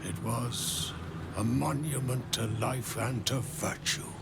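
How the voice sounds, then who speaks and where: An actor playing a weary, dignified damned architect in a fantasy game, solemn and halting, often sinking to a near-whisper. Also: male voice, mid-range voice, creaky, heavy background noise. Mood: mournful reminiscence. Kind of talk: dramatic video game acting. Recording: prominent music behind the voice.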